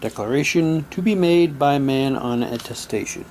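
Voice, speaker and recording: A man's voice: mid-range voice, expressive, normal speed, darkish tone. Casual man musing over noisy background. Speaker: reviewer showing mail-order radio drama props. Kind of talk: casual unboxing commentary, reading documents aloud. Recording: clear background noise, room ambience.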